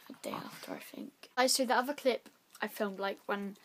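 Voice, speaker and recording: A teenage girl with a croaky voice, chatting rambly into a phone held at arm's length, saying "like" a lot. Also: medium pitch, fairly quick, a bit bright, slight background noise. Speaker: vlogger. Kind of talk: casual vlog. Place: bedroom, then back garden.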